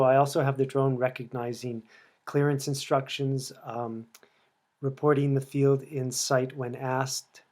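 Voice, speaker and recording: A man explaining a technical demo in a measured, deliberate way. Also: medium pitch, rough recording. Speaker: presenter demonstrating a homemade drone project. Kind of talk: explanatory demo narration with radio-style instructions. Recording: clear background noise.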